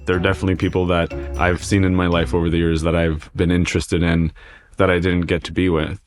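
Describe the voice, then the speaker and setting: A man's voice: low voice, flat delivery, quick pace, soft background music. A man casually reflecting on his own life in a thoughtful way.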